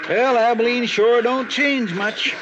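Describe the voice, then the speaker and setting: An actor playing a chatty, deferential deputy in an Old West radio drama, with a folksy drawl. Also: male voice, very high-pitched, fairly even intonation, measured pace, over music. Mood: chatty, curious. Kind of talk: 1950s radio western acting. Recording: muffled, very noisy old radio recording.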